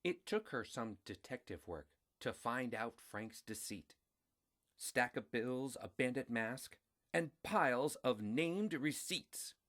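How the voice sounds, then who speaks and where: A man reading a children's story aloud in a deliberate, expressive way. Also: medium pitch.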